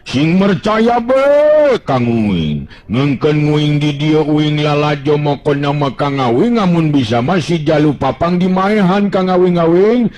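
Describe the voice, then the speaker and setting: A man voicing a huge, slow-witted, loyal sidekick with a drawling, repetitive way of talking. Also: fairly high pitch, big pitch swings, darkish tone, soft background music, pitched above the performer's own voice. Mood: pleading, fierce. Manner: drawling, repetitive, simple-minded.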